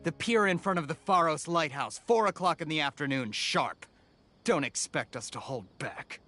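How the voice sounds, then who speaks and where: An actor playing a menacing male mercenary thug in a video game, gruff and threatening. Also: a little high, expressive, normal speed, pushed and bright, a little hiss or hum. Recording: game audio with faint background music.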